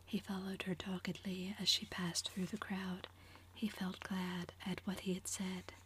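A woman narrating a classic novel entirely in a soft, unvoiced whisper. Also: fairly low pitch, level tone, measured pace, a little hiss or hum. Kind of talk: whispered audiobook reading.

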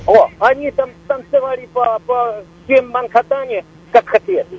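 A male caller with a very high, lively voice and a husky edge, stumbling as he searches for the right words. He comes through dull and lo-fi, like a phone call played over the radio. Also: moderate pace.

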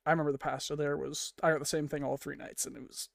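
A man's voice: fairly high pitch, flat delivery, quick pace, gravelly, over a noisy crowd. A young man co-hosting a casual podcast, telling stories in a chatty, laughing way. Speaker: podcast co-host. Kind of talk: casual chat.

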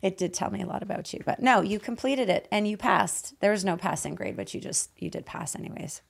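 A woman with a raspy, hoarse voice hosting a conversational podcast in a playful, teasing manner. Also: mid-range voice, a little fast. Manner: playful, teasing, laughs often. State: voice hoarse after losing it. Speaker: host and guide.

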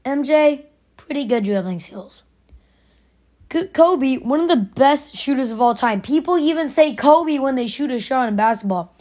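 A raspy young woman hosting her own podcast, arguing her opinion emphatically and repeating her points. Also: high voice, animated, moderate pace, darkish tone. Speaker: podcast host. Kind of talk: opinionated solo podcast rant.

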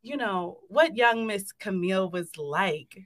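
A woman's voice: medium pitch, expressive, slow, fairly bright tone. A woman in her thirties hosting a podcast, talking in an upbeat, chatty and emphatic way. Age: 30s. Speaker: podcast host. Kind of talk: casual podcast chat. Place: indoor recording space.

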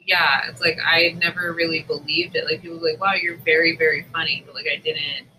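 A man with a very bright, forward voice chats casually as a podcast co-host. His pitch sits a little high, and he is self-deprecating and jokey. Faint noise runs behind him. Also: level tone, a little fast, poor audio. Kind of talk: casual chat.

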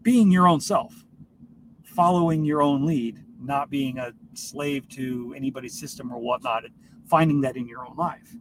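A man's voice: mid-range voice, moderate pace. A man hosting a solo podcast in a casual, rambling style, speaking informally and swearing freely. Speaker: podcast host. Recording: noisy, distant microphone.

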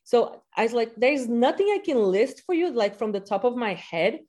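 A woman's voice: fairly high pitch, animated, moderate pace, dark tone. Brazil-raised lady, portuguese first language, casual chatty teacher guest, fillers everywhere. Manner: chatty, many "you know" fillers. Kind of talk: casual interview talk.

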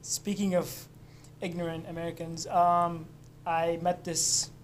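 A man's voice: on the high side, flat delivery, a little slow, a little hiss or hum. Young Lebanese-born man, loose and profane. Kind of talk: casual chat.

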